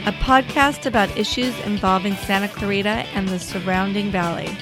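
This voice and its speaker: A woman's voice: medium pitch, normal speed. Announcer: woman, mid-range, formal scripted intro, strong guitar bed. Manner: steady, formal read. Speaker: show announcer. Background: guitar music.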